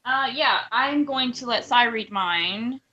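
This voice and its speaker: Bright-voiced woman chatting casually. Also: fairly high pitch, animated, takes it slowly, dull audio. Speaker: podcast panelist. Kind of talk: casual chat.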